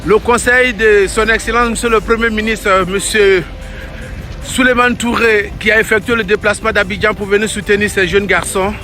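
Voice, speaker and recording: A man's voice: high voice, flat delivery, normal speed, faint music behind. A man reporting live and enthusiastically from a street event, repeating his exclamations. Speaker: reporter filming a march. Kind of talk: excited live street commentary. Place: outdoors on a busy street. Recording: loud crowd and street noise behind.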